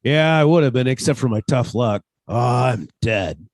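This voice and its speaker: An actor playing a weary, inquisitive oil-field geologist in casual, clipped radio drama dialogue. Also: male voice, mid-range voice, lively intonation, moderate pace, dry recording, lightly acted. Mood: tired, friendly.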